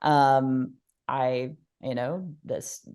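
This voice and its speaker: A woman with a notably low voice talks through her ideas in a relaxed interview. She hesitates, restarts phrases and fills pauses with "um," breaking into light laughter along the way. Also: clean tone. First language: Polish. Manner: thoughtful, many fillers and restarts, laughs often. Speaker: podcast guest, researcher. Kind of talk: conversational interview.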